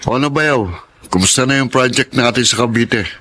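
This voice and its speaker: A man's voice: mid-range voice, moderate pace, bright, forceful tone. An actor playing a breezy, carousing man in a Filipino radio drama, talking in a casual, cocky way. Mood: breezy, coaxing. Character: breezy carousing brother-in-law, protective of his sister. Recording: noisy radio drama track.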